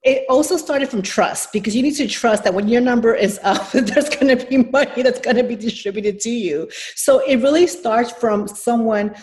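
A woman's voice: fairly high pitch, moderate pace, few pauses, darkish tone. A woman from New York City, a nonprofit executive, answering interview questions in a thoughtful, explanatory way with frequent restarts.

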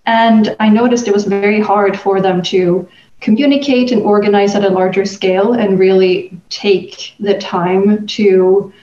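Female panelist from Sweden, mid-pitched, conversational, recorded roomy and dull. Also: steady tone, measured pace, mellow. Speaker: panelist, nonprofit founder.

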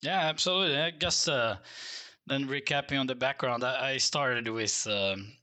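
A man's voice: medium pitch, moderate pace, bright, forceful tone. A man from Brazil with a Brazilian accent, a native Portuguese speaker, talking thoughtfully as an interview guest. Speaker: interview guest, vehicle designer. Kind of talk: reflective career recounting in interview.

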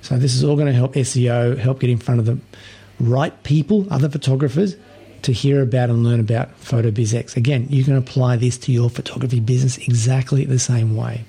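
A man hosting a podcast, hoarse and scratchy from a sore throat, talking in a relaxed, chatty way with small restarts. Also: mid-range voice, fairly quick. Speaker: podcast host. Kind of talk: casual podcast monologue.

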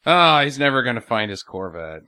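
A man co-hosting a casual podcast, recapping a film in an animated, jokey way. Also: medium pitch, normal speed, clean tone. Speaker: podcast co-host. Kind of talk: casual movie-review chat.

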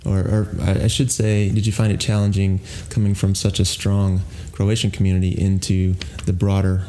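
A man with a low, dark, mellow voice hosts a casual interview podcast. He speaks in a relaxed way and keeps affirming his guest with soft murmurs. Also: moderate pace. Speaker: podcast host.

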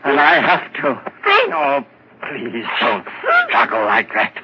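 A man's voice: medium pitch, wildly swooping pitch, slow, creaky, heavily acted. An actor playing a tense male murderer in a 1940s radio drama, talking to himself in an obsessive, menacing half-whisper. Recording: muffled old radio broadcast with hiss.

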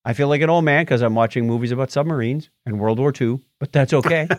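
A man's voice: mid-range voice, fast, darkish tone, no echo. A middle-aged man co-hosting a podcast, chatting casually and wryly about films and TV shows, often poking fun at himself. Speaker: podcast co-host.

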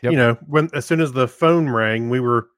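A man's voice: medium pitch, moderate pace, no room sound, clean studio sound. A man co-hosting a podcast in casual, conversational chat. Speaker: podcast co-host.

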